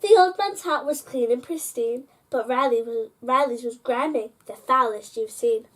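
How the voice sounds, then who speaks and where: Female storyteller with a high-pitched voice for children's bedtime picture books, engaging young listeners directly. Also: normal speed. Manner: addresses child listeners with prompting questions. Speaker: host reading children's picture books aloud.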